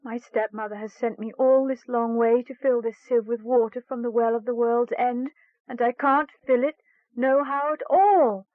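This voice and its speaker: A woman's voice: fairly high pitch, steady tone, normal speed, no echo, narrow, tinny audio, voice pushed up, lightly acted. A woman voicing a distressed, naive young girl in a fairy tale, with plaintive rustic phrasing. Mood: tearful, despairing. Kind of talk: fairy tale storybook reading.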